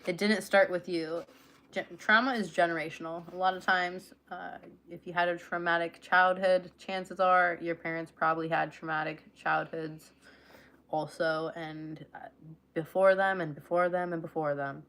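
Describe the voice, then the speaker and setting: A woman's voice: lowish voice, flat delivery, normal speed, a bit bright. Chatty woman hosting a livestream. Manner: chatty and affectionate with viewers, laughs easily. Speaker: livestream host. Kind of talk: casual livestream chat and reading aloud.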